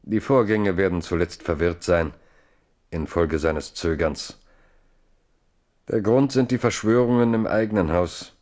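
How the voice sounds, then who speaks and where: Give a historical radio play its chronicler, with a very deep voice. He narrates gravely and deliberately, in literary phrases that he sets out with care. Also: a little mellow. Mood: grave, reflective. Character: grave male chronicler of a king's fate.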